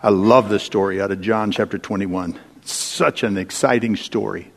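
A man's voice: on the low side, fairly even intonation, gravelly. Male preacher in a reverberant hall. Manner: deliberate storytelling, dramatic pauses and rhetorical questions. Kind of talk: sermon retelling and explaining Bible stories. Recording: echoey large hall with long reverb.

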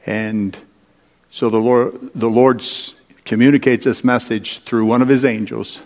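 A man preaching a sermon, retelling Bible stories in a deliberate, storytelling manner with dramatic pauses and rhetorical questions. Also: medium pitch, steady tone, a little mellow. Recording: echoey large hall with long reverb.

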